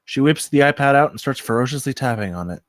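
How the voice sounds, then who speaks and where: A man's voice: mid-range voice, lively intonation, fast, no echo. Male narrator, scripted stage directions, film table read, close dry recording.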